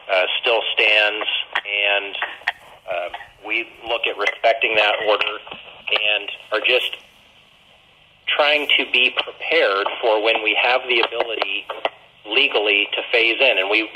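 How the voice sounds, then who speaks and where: A man with a husky voice giving an official report at a public meeting in a halting, diplomatic way. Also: on the low side, pushed and bright, a little hiss or hum, low-quality recording. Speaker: county administrator.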